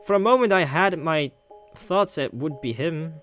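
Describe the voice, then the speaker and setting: A man doing animated let's play commentary, exclaiming, swearing and stretching words for emphasis. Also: a little high, moderate pace, faint music behind. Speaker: gaming streamer reading a visual novel aloud.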